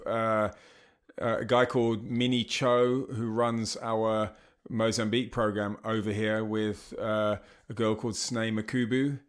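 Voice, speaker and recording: A middle-aged man with an English accent, a charity founder chatting as a podcast guest, relaxed and full of surf slang and fillers. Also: fairly low pitch. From England. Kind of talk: casual interview chat.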